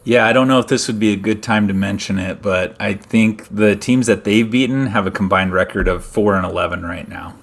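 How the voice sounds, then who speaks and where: A man's voice: lowish voice, fairly quick, few pauses. A middle-aged man hosting a casual sports talk show, laughing easily and steering the topics. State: injured wrist. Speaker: host.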